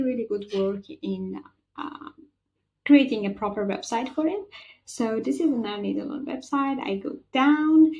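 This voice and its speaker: A woman's voice: fairly high pitch, vocal fry, warm tone. A young woman with a Persian accent, a native Farsi speaker, hosting a chatty, practical tutorial voice-over. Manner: chatty and practical, with frequent fillers. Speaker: channel host.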